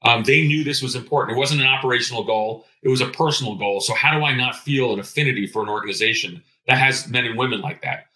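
Fast-talking middle-aged man, bright voice. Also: medium pitch. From born in Greece. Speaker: interview guest, retired intelligence officer. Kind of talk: personal storytelling in an interview.

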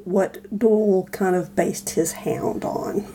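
A woman co-hosting a casual podcast, explaining things in a halting, thoughtful way. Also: medium pitch, steady tone, dark tone, a little hiss or hum. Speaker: podcast co-host.

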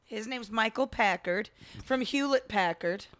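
A woman co-hosting a casual comedy podcast, telling stories and slipping in deadpan joke bits. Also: fairly high pitch, unhurried, no echo, clean studio sound. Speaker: podcast co-host.

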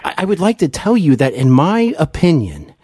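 A man's voice: a little high, sing-song, moderate pace, mellow, breathy edge, no echo, studio quality. A middle-aged American man hosting a conversational interview in an earnest, effusive, wordy way. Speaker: podcast host interviewer.

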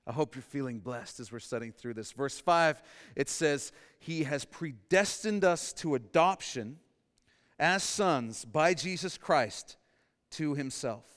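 A man preaching a sermon, emphatic, repeating key lines and dropping to a softer voice for emphasis. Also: fairly high pitch, expressive, measured pace. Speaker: pastor. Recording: long reverb in a large hall.

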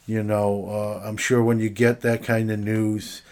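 Male, slightly deep voice, reflective and conversational, casual sports-talk delivery. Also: level tone, a little slow, darkish tone.

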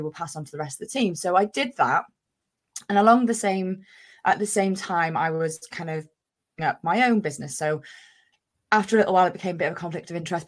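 A woman in her thirties from North Wales, chatting as a podcast guest in a rambling way with lots of fillers. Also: lowish voice. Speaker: guest, business owner. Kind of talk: casual interview chat.

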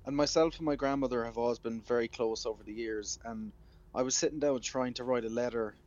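A man's voice: mid-range voice, fairly quick, darkish tone. A man with an Irish accent talking earnestly and conversationally as a musician guest on a radio phone interview. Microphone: telephone. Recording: muffled phone line.

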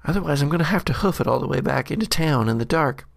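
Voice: medium pitch, lively intonation, fast, gravelly, no room sound, studio quality. A performer voicing a scrappy, slangy adventurer who mutters and curses through a jittery diary monologue. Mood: rattled, frustrated. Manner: slangy, cursing, self-interrupting monologue. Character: scrappy slangy jittery adventurer Skeen recounting a bad day. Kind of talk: in-character audio diary monologue.

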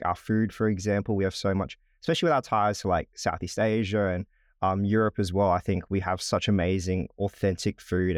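A man's voice: sits low, no echo, clean studio sound. A man in his twenties with an Australian accent, talking casually and explaining things as a podcast guest. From Darwin, Australia. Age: 20s. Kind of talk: casual, explanatory interview talk.

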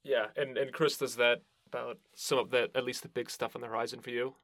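A man's voice: medium pitch, little pitch movement, brisk, a bit bright, no room sound. A man hosting a podcast interview, talking in a casual, conversational style.

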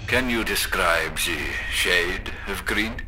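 A man's voice: low-pitched, bright, forceful tone, slight background noise, full character voice. An actor playing an eccentric male doctor in a horror audio drama, probing formally and with cool command. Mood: commanding, curious. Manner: slow, formal, measured questioning.

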